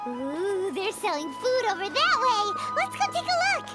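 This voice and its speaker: Casting: tiny excitable game fairy, extremely high childlike voice, wildly swooping intonation, whiny and exclamatory. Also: female voice, normal speed, over music, full character voice. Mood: excited, urgent. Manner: refers to self in third person, whiny and exclamatory. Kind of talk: video game voice acting.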